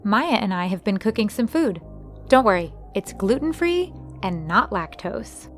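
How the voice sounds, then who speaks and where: A woman's voice: medium pitch, very animated, measured pace. An actress plays a sociable woman in an audio drama. She is friendly on the surface and evasive underneath, secretly an alien in human disguise. Faint music runs behind her.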